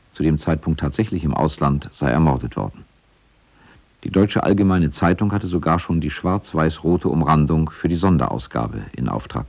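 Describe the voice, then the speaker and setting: A man with a deep voice narrates a radio documentary. He reads the script plainly and matter-of-factly. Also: vocal fry, mellow, husky.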